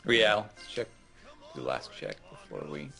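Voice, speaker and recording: A man co-hosting a gaming show, chatting casually and explaining things with hesitant pauses and fillers. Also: on the low side, sing-song, gravelly, bright, forceful tone, faint music behind. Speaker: gaming co-host. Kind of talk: casual chat, game advice.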